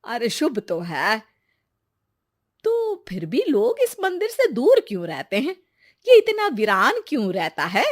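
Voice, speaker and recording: A curious, helpful village woman voiced by a woman in a cartoon folk story. She chats in homely, colloquial words, in a high voice that rises and falls a lot. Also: huge pitch swings, unhurried, heavily acted. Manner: inquisitive, colloquial. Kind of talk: cartoon moral-story acting.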